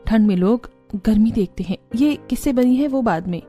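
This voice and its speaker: A woman's voice: fairly high pitch, expressive, moderate pace, mellow, husky, soft background music. A woman voicing an earnest, resourceful young village wife and mother in a Hindi cartoon story. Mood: hopeful, persuasive.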